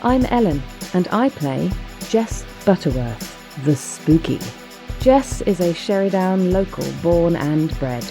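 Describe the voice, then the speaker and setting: Very low-pitched woman over music. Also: animated, slow pace. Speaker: cast member introducing a character. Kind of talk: scripted introduction.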